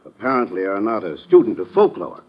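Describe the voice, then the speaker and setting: An actor playing a fussy, pompous little folklore professor in an old radio drama, with formal, precise diction. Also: male voice, fairly low pitch, sing-song, a little fast, breathy edge. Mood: self-important. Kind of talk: 1950s radio drama acting. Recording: dull old radio recording.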